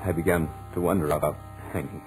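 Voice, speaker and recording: A deep-voiced doomed man recounts his terror in a haunted, deliberate way. It is old 1940s radio horror drama, dramatic and grave, with music clearly heard behind him. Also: fairly even intonation, poor audio. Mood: resigned horror. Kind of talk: 1940s radio horror acting, first-person narration.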